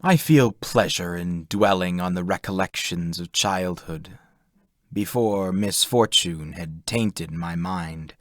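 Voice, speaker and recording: A man reading literary prose as an audiobook narrator, in a measured, formal manner. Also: deep voice, no room sound, studio quality.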